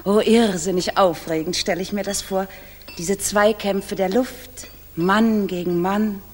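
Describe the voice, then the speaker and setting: A woman's voice: medium pitch, steady tone, a little slow, close to an everyday voice. An actress playing a flirtatious society woman, breathlessly admiring, in a 1960s radio drama. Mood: gushing. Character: gushing, flirtatious society woman thrilled by war heroes. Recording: distant echoing radio studio, hiss.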